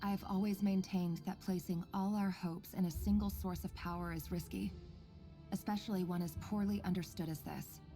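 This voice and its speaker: A woman playing an earnest, composed researcher in a video game, speaking in a measured, articulate and quietly caring way. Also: fairly low pitch, steady tone, soft background music, close to an everyday voice. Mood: composed, formal. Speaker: voice actor in a game story quest. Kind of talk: scripted game dialogue and log narration.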